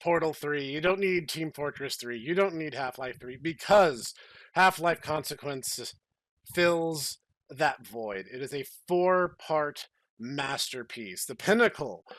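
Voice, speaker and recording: A man hosts a podcast in a dry, close recording. His mid-pitched voice turns theatrical and mock-grandiose, and he often stalls and repeats words while bantering. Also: fairly bright tone, dry recording. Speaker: podcast host.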